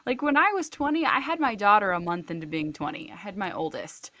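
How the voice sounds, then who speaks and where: A woman's voice: mid-range voice, a little fast, a bit bright, slight background noise. A woman in her thirties in a casual group podcast chat, eager and peppering her talk with "like". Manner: eager, says like a lot. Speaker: podcast guest.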